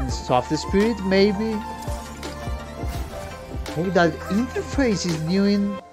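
A man giving casual, exclamatory, slangy reaction commentary as a musician watching game trailers. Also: a little high. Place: home room with instruments. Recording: game music playing behind the voice.

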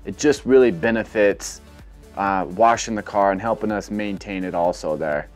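A man's voice: on the low side, moderate pace, soft background music. Easygoing man explaining car washing. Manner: relaxed, rambling, filler-heavy explaining. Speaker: car detailing vlogger. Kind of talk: casual how-to narration. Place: outdoor car wash bay.

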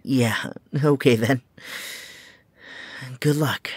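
A man's voice: medium pitch, extremely slow, breathy edge, no echo. A man playing a shy, awkward young hero, hesitant in his speech. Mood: bashful. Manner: hesitant, bashful.

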